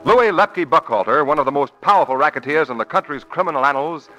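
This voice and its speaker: A man's voice: fairly high pitch, animated, quick pace, loud music behind the voice, close to an everyday voice, rough recording. A man narrating a crime drama in the formal, dramatic style of a 1940s radio announcer.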